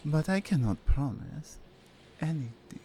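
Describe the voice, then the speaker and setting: A woman playing a menacing, seductive Russian mafia captor in an intimate ASMR roleplay, with a Russian accent and a deliberate, controlled delivery. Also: low-pitched, lively intonation, mellow, a little hiss or hum. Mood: stern, matter-of-fact.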